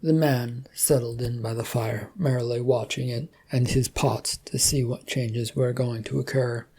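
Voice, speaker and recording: Mellow, husky storytelling narrator. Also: mid-range voice, fairly even intonation, unhurried.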